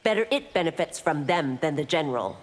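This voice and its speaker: An actress playing a stern, resolute law officer and warrior in a video game, with a firm, formal manner. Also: female voice, deep voice, expressive, brisk. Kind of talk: video game heroine acting. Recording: reverberant arena with clear background noise.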